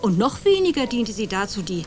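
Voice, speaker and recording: An actress playing a calm, ironic woman who quietly accuses two men in a radio play, music behind her. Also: mid-range voice, brisk, close to an everyday voice.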